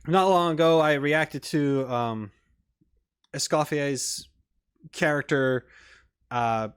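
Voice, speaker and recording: A man's voice: a little high, steady tone, slow, clear, resonant tone. A man giving casual, off-the-cuff commentary as a reaction streamer, rambling through his thoughts with many asides. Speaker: reaction video host and streamer.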